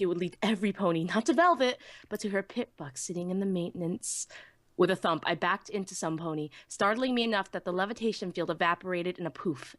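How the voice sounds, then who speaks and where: A woman playing a small, anxious young female pony who narrates her own story in an expressive, earnest way. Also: on the low side, dry recording, close to an everyday voice. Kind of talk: dramatic first-person story narration.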